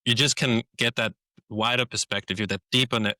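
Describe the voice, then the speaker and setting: A man's voice: mid-range voice, fairly even intonation, a little fast, sharp tone, dry recording. A man with a Danish accent, a podcast host whose first language is Danish, talking through ideas thoughtfully in a casual conversation. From Denmark. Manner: thoughtful, restarts phrases while searching for words.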